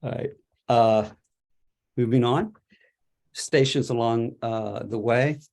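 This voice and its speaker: A man's voice: mid-range voice, slow, vocal fry, darkish tone. A man presenting his book as a poet, moving between informal introductions and read poems. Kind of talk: poetry reading with informal introductions.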